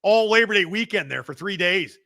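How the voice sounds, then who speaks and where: A man with a Chicago accent, his voice gravelly and sharp and sitting a little high. He talks loud and rowdy, swears freely, and bursts into laughs while he banters on a live show. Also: animated, fairly quick. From Midwestern United States. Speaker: podcast host reading live chat.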